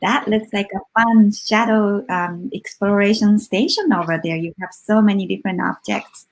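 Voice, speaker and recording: A woman's voice: mid-range voice, normal speed, rough recording. A woman in casual, responsive chat as a co-host of an online session.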